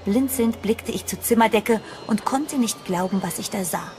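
A woman's voice: mid-range voice, normal speed, a little mellow, natural voice. Actress as an uneasy young woman, music behind. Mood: reflective, uneasy. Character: sensible, uneasy young woman, narrating heroine. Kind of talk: horror audio drama narration and dialogue.

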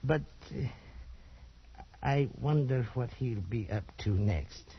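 An actor playing a nervous, slyly dismissive Portuguese trader with a Portuguese accent, a husky gravelly voice and hesitant broken English. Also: male voice, mid-range voice, slow. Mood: wry, ominous. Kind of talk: 1940s radio acting. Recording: dull old radio recording.

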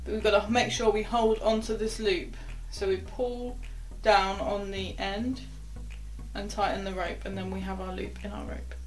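A woman's voice: mid-range voice, little pitch movement, normal speed, soft background music, poor audio. Chatty young woman teaching knots. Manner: step-by-step, chatty, drops to quiet asides while working the rope. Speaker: sailing instructor. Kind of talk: knot-tying tutorial. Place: small wooden garden cabin, indoors.

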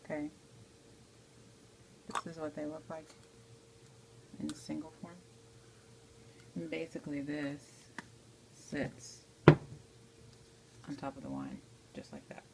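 A woman's voice: sits low, little pitch movement, slow, vocal fry, long pauses, noisy background. A woman giving a casual step-by-step tutorial in an informal way, often checking in with the viewer, recorded on a handheld phone in a home kitchen. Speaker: amateur how-to video maker. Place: home kitchen, indoors at the stove. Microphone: handheld phone behind the camera.

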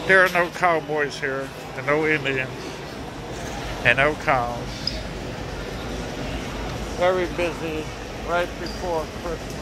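A man's voice: fairly high pitch, takes it slowly, long pauses. A man casually narrating a walk through a busy shopping mall on a handheld phone camera, joking and rambling as he goes. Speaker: vlogger. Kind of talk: casual walking vlog. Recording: noisy mall ambience.

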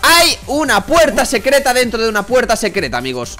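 A man's voice: very high voice, huge pitch swings, brisk, pushed and bright, soft background music. A man with an accent from Spain, chatting casually and teasingly as he hosts a gaming video. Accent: Spanish, from Spain. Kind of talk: casual chat while playing a game.

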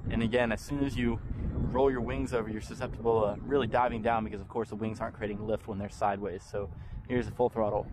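A man's voice: on the low side, fast talker, background noise. A young man hosting a hobby channel, talking casually with frequent ums while flying a model plane in an open park. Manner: relaxed, chatty, frequent ums. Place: open park field outdoors.

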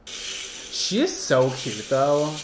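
Animated, campy young man, very swooping pitch. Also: very slow, reverberant, background noise. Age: 20s. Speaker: hairdresser and video host. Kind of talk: casual reaction commentary to camera. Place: indoor studio with paper backdrop.

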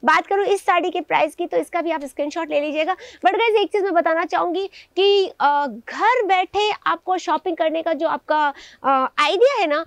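A woman's voice: very high-pitched, moderate pace, hardly any pauses, no room sound. Chatty young woman showing off sarees. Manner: chatty, enthusiastic, addresses viewers directly. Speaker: host presenting sarees. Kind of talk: casual product showcase. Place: indoors in a saree shop.

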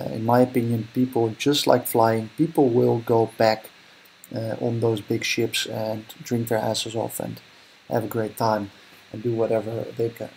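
A man's voice: mid-range voice, creaky, a little mellow, slightly rough, low-quality recording. A young Dutch man with a Dutch accent, thinking aloud and correcting himself as he talks through a screen recording. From Netherlands. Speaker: investing vlogger. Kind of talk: casual screen-recording commentary.

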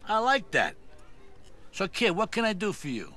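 An actor playing a gruff, sardonic old mob boss. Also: male voice, sits high, wildly swooping pitch, moderate pace, bright, forceful tone, exaggerated voice. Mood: wary.

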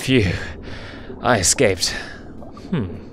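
A man's voice: fairly low pitch, animated, drawn-out words, very noisy. A man voicing a playful, hapless young male lion cub in an amateur comic dub, stammering and hesitant. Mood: earnest. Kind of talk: amateur comic fandub acting.

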